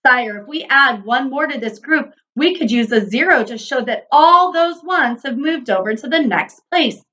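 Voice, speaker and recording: A woman's voice: high voice, expressive, normal speed, a bit bright, lo-fi sound. A woman voicing an earnest, eager number character, a hopeful young inventor in a children's storybook. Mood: proud, hopeful.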